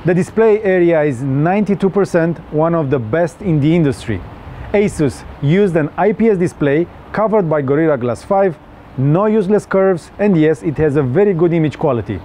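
A young man with a Romanian accent talks through a phone review in an earnest, slightly stilted way. His voice sits a little high yet sounds mellow. Faint street noise stays in the background. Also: expressive, moderate pace. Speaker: tech reviewer.

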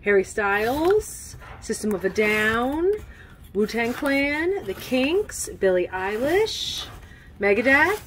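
Playful woman with a higher-pitched voice. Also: big pitch swings, slow pace, fairly bright tone. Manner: playful, repeats words. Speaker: record store co-host. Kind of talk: casual vlog chat, listing album names. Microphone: handheld camera mic at short distance. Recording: faint room noise, handheld camera.

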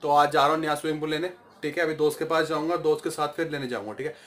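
A man's voice: fairly high pitch, fairly even intonation, rapid-fire, a bit bright. A young man in his twenties chatting casually to his phone camera as a vlogger, leaning on fillers. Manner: chatty, repeats "theek hai" as a filler. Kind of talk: casual vlog chat. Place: small indoor room. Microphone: phone camera at arm's length. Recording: noisy street while riding.

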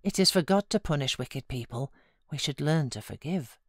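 A woman's voice: low-pitched, expressive, moderate pace, slightly rough, dry recording, clean studio sound. Woman reading as a scolding British housekeeper. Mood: reproving. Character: chatty, scolding female housekeeper telling tales.

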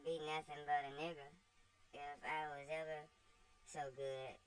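A woman's voice: sits low, level tone, measured pace, pitched above the performer's own voice, poor audio. A woman doing a blunt, mischievous young girl with broad dialect. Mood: blunt, defiant.

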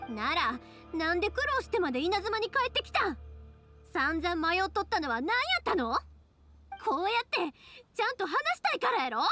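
A woman's voice: very high voice, big pitch swings, moderate pace, bright, forceful tone, faint music behind, heavily acted. A woman playing a frank, stubborn young fireworks maker with a Kansai accent in a Japanese anime game. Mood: pleading.

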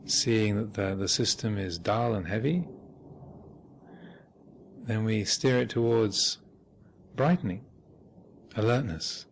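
A man speaking as a meditation teacher, giving measured guided instruction with thoughtful pauses and gentle phrasing. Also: lowish voice, vocal fry. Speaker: Buddhist monk and meditation teacher leading a retreat. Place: meditation hall at a retreat center.

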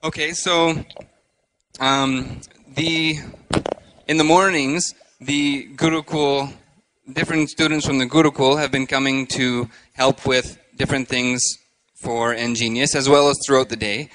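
A man in his thirties hosting a live interview on a handheld microphone, speaking haltingly with frequent pauses. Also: medium pitch, steady tone, fairly bright tone, poor audio. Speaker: host. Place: indoor stage with curtain.

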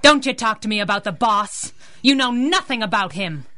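A feisty young female crimefighter in a vintage-style radio adventure. Her voice is bright and forceful, with big melodic swings. She wisecracks in a streetwise, sassy way. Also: on the high side, lively intonation, normal speed. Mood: angry. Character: feisty young masked heroine sidekick, tough and sassy. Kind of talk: 1930s radio drama acting.

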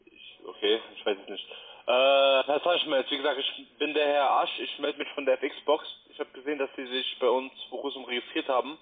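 Man, telesales caller, insistent and formal, average pitch, narrow telephone sound. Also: steady tone, a little fast. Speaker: call centre sales agent. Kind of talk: phone sales call. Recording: tinny narrow phone line.

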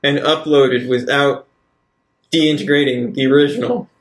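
A man's voice: mid-range voice, a little slow, echoey room, poor audio. A man playing a tabletop role-playing game, improvising casually.

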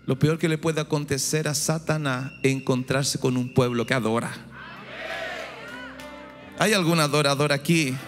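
A man's voice: mid-range voice, steady tone, moderate pace, long pauses. A man in his forties, born in Chile, preaching a fervent sermon into a handheld mic on a big church stage. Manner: fervent, rising to shouts and exclamations. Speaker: pastor. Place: large church stage before a big congregation. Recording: echoey hall with music behind.

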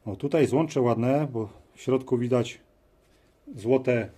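A man giving casual hands-on commentary, musing aloud in short, halting phrases as he works at a workshop bench. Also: mid-range voice, dark tone, husky. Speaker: host of a DIY teardown video. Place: workshop at a cluttered metal bench. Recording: noisy workbench with clicks and clatter. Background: tool clicks and metallic clatter.

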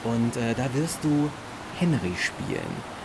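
A man's voice: mid-range voice, wildly swooping pitch, slow pace, creaky, background noise. A man in his thirties presenting in a casual promotional chat. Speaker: presenter, writer and actor. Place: living room, indoors.

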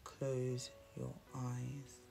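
A soothing, hushed voice guiding a relaxation meditation with deliberate, long pauses between phrases. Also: medium pitch, completely flat delivery, gravelly. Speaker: meditation guide. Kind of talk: guided meditation, scripted narration. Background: soft instrumental music.

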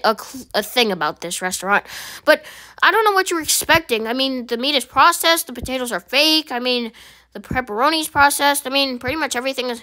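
A woman voicing a nervous young fast-food worker who stammers and gets flustered. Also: sharp tone, low-quality recording. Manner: stammering, flustered, high-pitched.

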